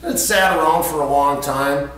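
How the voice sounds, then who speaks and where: Casual American male storyteller, higher-pitched, recorded at a distance in a very reverberant room. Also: moderate pace, a bit bright. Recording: echoey room, distant mic, faint hum.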